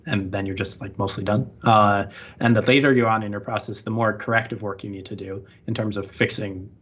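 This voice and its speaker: A man's voice: on the low side, fairly even intonation, brisk, a bit bright. A man being interviewed on a podcast, chatting casually and rambling with lots of "like" fillers. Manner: rambling, heavy use of "like" fillers. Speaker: interview guest. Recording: muffled lo-fi audio.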